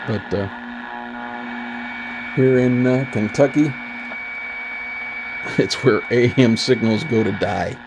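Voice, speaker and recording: A man talking casually and unscripted as he demonstrates his hobby repair work, in an easygoing, halting, rambling way at home. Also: mid-range voice. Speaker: vintage radio repair hobbyist and video host. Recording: noisy home room recording with ambient sound.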